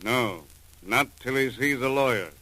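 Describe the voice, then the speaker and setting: An actor playing an affable, plain-spoken police chief in a 1940s radio drama. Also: male voice, mid-range voice, a little slow, bright, forceful tone, low-quality recording. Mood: curious, puzzled.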